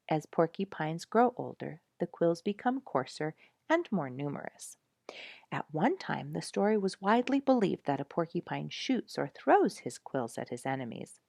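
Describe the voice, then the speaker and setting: A woman narrating a nature story from a script in a measured, emphatic storytelling manner. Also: sits low, gravelly, darkish tone, dry recording, studio quality. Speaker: audiobook narrator reading a nature memoir.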